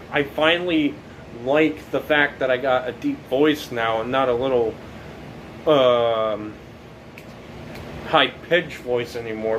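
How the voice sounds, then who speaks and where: Slow, rambling teenage guy with faint hum. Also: medium pitch, a bit bright, low-quality recording. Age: late teens. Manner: rambling, halting, many fillers and restarts. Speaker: documentary subject. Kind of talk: casual reflective monologue.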